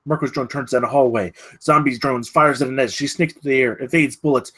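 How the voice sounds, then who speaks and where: A man's voice: mid-range voice, steady tone, fairly quick, a bit bright, breathy edge. A man reading stage directions at a screenplay table read, in a dramatic, clipped way.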